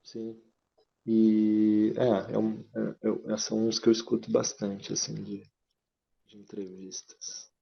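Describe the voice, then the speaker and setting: A man's voice: fairly low pitch, completely flat delivery, slow, vocal fry, husky. A Brazilian man, a student in a workshop, chatting casually over a muffled online call from home. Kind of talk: casual chat.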